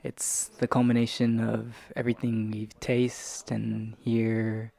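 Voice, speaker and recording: A man reflecting aloud on personal ideas in measured, poetic phrases. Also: medium pitch, completely flat delivery, gravelly, dark tone.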